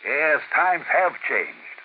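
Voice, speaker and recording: A male announcer delivers a measured, declamatory scripted commentary with a lively, rising and falling pitch. The old broadcast sounds thin and phone-like, with a faint hiss underneath. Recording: tinny old radio broadcast with faint noise.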